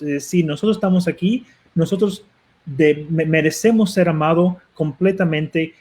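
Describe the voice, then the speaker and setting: A Cuban-accented man with a rather high voice, speaking as a pastor on a talk show. He talks earnestly but haltingly, repeating and restarting words as he searches for them. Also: a little mellow. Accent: Cuban Spanish. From Cuba. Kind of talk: guest answering on a talk show.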